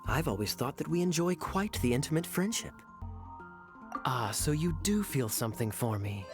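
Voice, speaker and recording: An actor voicing a smooth, flirtatious male cavalry captain who speaks in a suave, teasing, unhurried way. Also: a little high, big pitch swings, faint music behind. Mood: sly.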